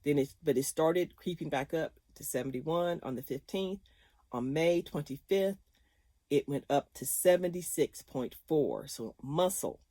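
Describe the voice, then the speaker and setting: A woman's voice: low voice, measured pace, warm tone, no room sound. A woman in her fifties talking casually to her viewers, chatty and rambling, often laughing. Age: 50s. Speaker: vlogger.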